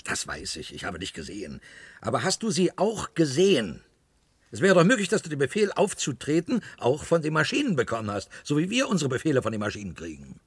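An actor playing a gruff, indifferent worker in a German radio play, blunt and offhand in his speech. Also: mid-range voice, huge pitch swings, a little fast, dry recording, heavily acted. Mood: sceptical. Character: gruff, indifferent, grumbling male cellar worker.

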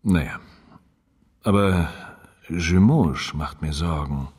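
Very deep, husky, slow priest. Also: male voice, a little mellow, full character voice. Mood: worried, delicate. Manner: slow, hesitant, measured. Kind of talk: radio crime drama acting. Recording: long reverb, like a church.

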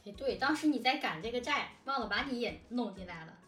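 A woman's voice: fairly high pitch, animated, a little fast, fairly bright tone, roomy sound, far from the mic, soft background music. A woman chatting casually and animatedly, giggly and talkative, as one of an audio drama team. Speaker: audio drama production team member, co-host. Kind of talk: casual behind-the-scenes chat.

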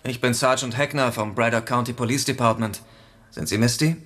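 An actor playing a courteous, official police sergeant in a German radio drama, formal in manner. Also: male voice, medium pitch, steady tone, brisk, fairly bright tone, close to an everyday voice. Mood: polite, official.

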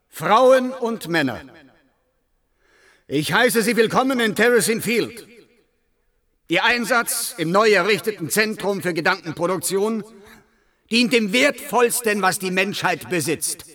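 Zealous, formal male official, higher-voiced. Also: animated, slow pace, long pauses, a bit bright, exaggerated voice. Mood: rousing, solemn. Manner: formal, precise, declamatory. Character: zealous, irritable male research official in dystopian science fiction. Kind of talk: German radio play acting.

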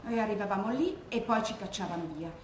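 An actress playing an educated, composed woman giving measured testimony about grief for her father in a theatre monologue. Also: medium pitch, expressive, natural voice. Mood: solemn, pained. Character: educated daughter recalling her father's wartime death, composed, grieving. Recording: echoey room with background noise.

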